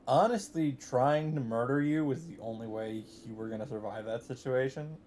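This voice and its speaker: A man hosting a let's play, chatting casually and reading game narration aloud, thinking out loud as he goes. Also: mid-range voice, moderate pace, a little hiss or hum.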